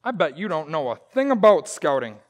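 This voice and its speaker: A high, swooping male voice acted by a man plays a bumbling husband in an old-time radio comedy. He is boastful and folksy, grumbling and exclaiming as he blusters along. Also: very animated, fairly quick. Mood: scornful challenge. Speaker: actor in community theater radio play.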